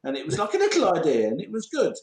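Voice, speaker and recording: A man co-hosting a podcast, jokey and animated in casual banter, in an echoey room. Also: high voice, fast. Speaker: podcast co-host.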